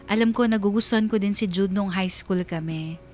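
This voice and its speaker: A woman's voice: mid-range voice, brisk, darkish tone, lightly acted. Actress as young woman confessing a secret love, earnest radio drama narration, music under her. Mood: confessional, yearning. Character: lovelorn young woman secretly in love with best friend's girlfriend.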